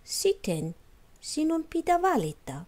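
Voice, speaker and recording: A woman's voice: sits high, very animated, darkish tone, voice pushed up. Woman as a mysterious, probing mirror creature.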